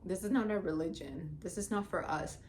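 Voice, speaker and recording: A woman's voice: lowish voice, fairly quick, darkish tone, slight background noise. A Somali-born woman in her forties with Somali as her first language, talking bluntly and swearing in a casual vlog. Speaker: vlogger. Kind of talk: casual talk to camera.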